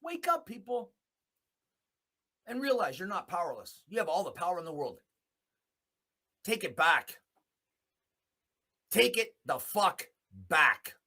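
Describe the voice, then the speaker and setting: A man's voice: high-pitched, big pitch swings, slow pace, pauses often, pushed and bright. A man hosting a solo commentary show, delivering a blunt, off-the-cuff opinionated monologue with free use of swearing.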